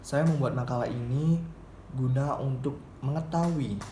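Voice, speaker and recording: A young man gives a semi-formal student presentation, explaining in a halting way and often repeating or correcting his phrases. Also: medium pitch, warm tone. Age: young adult. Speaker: university student presenting a paper. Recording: roomy echo, faint background noise.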